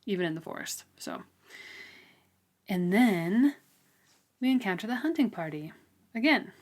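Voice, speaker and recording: A woman's voice: mid-range voice, animated, measured pace, darkish tone. A woman co-hosting a podcast, chatting casually and informally about a book, peppering her sentences with "like". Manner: informal, frequent "like" as filler. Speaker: podcast co-host. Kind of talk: casual book discussion chat.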